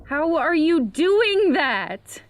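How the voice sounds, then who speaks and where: A woman's voice: high voice, sing-song, very slow, slight background noise, exaggerated voice. An actress playing an anxious, sarcastic young homebody woman in a horror comedy audio drama. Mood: annoyed, uneasy. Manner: jittery, sarcastic.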